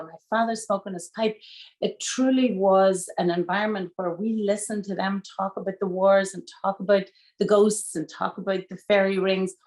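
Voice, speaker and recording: A woman, a lawyer telling stories warmly on a video call from home. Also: mid-range voice, echoey room. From Northern Ireland. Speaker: estate planning lawyer, guest. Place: home room with shelves. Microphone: video call webcam.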